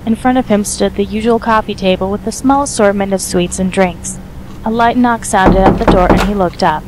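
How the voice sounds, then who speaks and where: A woman's voice: mid-range voice, normal speed. Woman narrating a story, faint noise.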